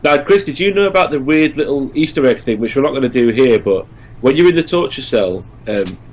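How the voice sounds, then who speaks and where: A man's voice: mid-range voice, animated, brisk, a little mellow, low-quality recording. A man giving casual co-commentary on a video game, talking as he would with friends. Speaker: let's play co-commentator. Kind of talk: casual gaming commentary chat.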